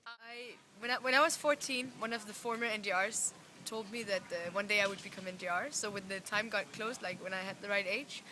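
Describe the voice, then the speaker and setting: A woman's voice: medium pitch, fairly even intonation, brisk. A young woman with a Danish accent, searching for words as she gives casual interview answers. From Denmark. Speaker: youth organization representative. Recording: clear ambient background noise.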